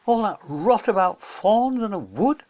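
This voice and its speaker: A man's voice: high voice, animated, unhurried, a little mellow, voice pushed up. A man voicing a polite, sincere and doubtful schoolchild in a children's storybook. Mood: puzzled.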